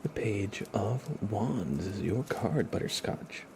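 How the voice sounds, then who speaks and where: A man speaking softly and gently in a casual ASMR livestream style, often drifting into a whisper. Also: on the low side, lively intonation, takes it slowly, vocal fry, background noise. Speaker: ASMR live streamer, host. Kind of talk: soft-spoken ASMR livestream, casual chat and tarot reading.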